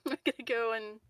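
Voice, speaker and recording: A woman playing a tabletop game, chatting casually in a relaxed, hesitant way. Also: a little high.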